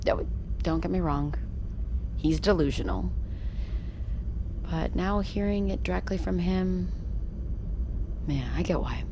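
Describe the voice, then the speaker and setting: A woman's voice: lowish voice, expressive, slow, pauses often, noisy background. An actress playing a guarded, plain-spoken female submarine pilot in an audio drama, casual, dry and blunt. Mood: tired, reflective. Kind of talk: naturalistic audio drama acting.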